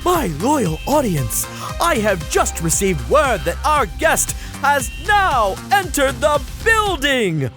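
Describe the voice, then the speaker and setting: A man's voice: extremely high pitch, sing-song, takes it slowly, bright, forceful tone, faint music behind, heavily acted. A man playing a gleefully sadistic livestream host in an audio drama, grandiose and singsong, with mock courtesy. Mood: sly menace.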